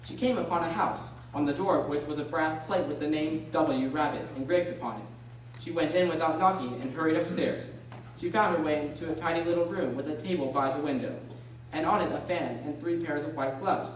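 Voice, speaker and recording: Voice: medium pitch, brisk, close to an everyday voice. A narrator reading a scripted story for a radio drama. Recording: echoey room, distant mic, faint noise.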